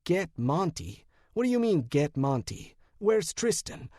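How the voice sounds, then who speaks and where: A man voicing a hot-tempered, sarcastic male cop in an audiobook, loud and incredulous. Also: fairly high pitch, sing-song, a little slow, no echo, clean studio sound, voice pushed up. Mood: insistent, exasperated. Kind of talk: audiobook character voice.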